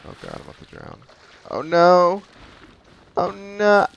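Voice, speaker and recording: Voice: medium pitch, huge pitch swings, a little slow, gravelly, highs cut off, slight background noise. A streamer giving rambling commentary while playing a video game, talking to themselves. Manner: rambling, talks to self. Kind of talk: gameplay commentary.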